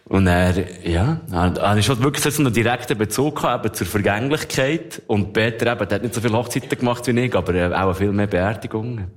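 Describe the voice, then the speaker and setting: A man's voice: fairly low pitch, fast, hardly any pauses. A man in his thirties with a Swiss accent, chatting casually and telling anecdotes as a guest. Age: 30s.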